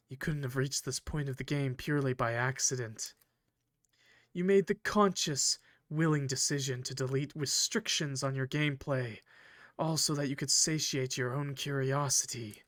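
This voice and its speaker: A man voicing a possessive, coldly polite villain from a visual novel, speaking formally and theatrically in measured, condescending phrases. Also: medium pitch, fairly bright tone, no room sound, studio quality, natural voice. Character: possessive, coldly polite male villain, eerie train conductor. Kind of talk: visual novel character reading.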